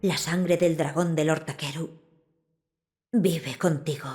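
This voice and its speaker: A woman voicing a gentle young noble boy in a video game, calm and measured, with a Castilian Spanish accent. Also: low voice. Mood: solemn, gentle.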